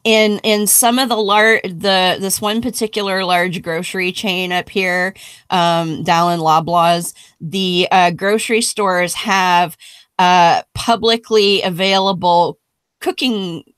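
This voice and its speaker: Woman on a podcast, lowish voice, dry sound, deadpan dark jokes. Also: smooth, no room sound. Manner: dark humor, halting delivery with restarts. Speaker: podcast co-host. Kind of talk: casual round-table chat.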